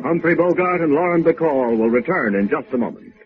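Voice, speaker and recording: A man working as a radio announcer and sponsor pitchman, reading scripted copy in 1950s style. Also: expressive, brisk. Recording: tinny vintage radio, music behind.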